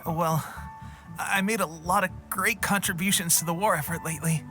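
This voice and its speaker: An actor playing an earnest, proud young soldier who is worn out and weak, in dramatic video game style. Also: male voice, high voice, fairly even intonation, natural voice. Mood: weak, confused. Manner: halting, casual, bravely cheerful. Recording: music playing behind.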